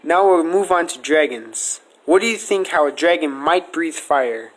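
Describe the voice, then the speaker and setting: A man with a slightly high-pitched voice hosts a casual podcast conversation. He talks through speculative theories in an earnest, explanatory way, as in an informal question-and-answer session. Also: animated, a little slow, low-quality recording. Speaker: podcast host.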